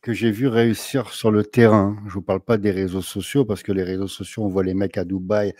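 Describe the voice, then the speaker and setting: A low-voiced man hosting an interview, recorded close and dry. He speaks emphatically, turning to the audience to press home his advice. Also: little pitch movement, brisk, darkish tone, no room sound. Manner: emphatic, addresses viewers directly. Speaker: interviewer, business coach. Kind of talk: motivational interview talk.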